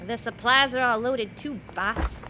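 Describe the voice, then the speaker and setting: A woman's voice: unhurried, bright, forceful tone. An actress playing a tough, brassy female accomplice to a crook, curt and slangy, in old radio crime drama style. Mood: sardonic, bossy. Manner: slangy, curt, hard-boiled. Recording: clear vehicle rumble behind the voice.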